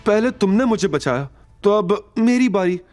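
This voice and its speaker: A man voicing an anxious, earnest and innocent young woman in an audio drama, speaking softly. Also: high voice, expressive, moderate pace, soft background music, voice pushed up. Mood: earnest, flustered. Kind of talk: Hindi audio drama dialogue.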